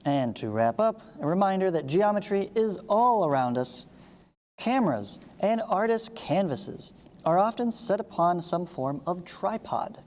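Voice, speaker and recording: In a reverberant space, a woman with a low, soft-edged voice reads instructional material aloud. She teaches methodically, step by step, adding brief asides to the listener. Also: very animated, a little slow. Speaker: math teacher, video host. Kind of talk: read-along lesson, reading textbook aloud with asides.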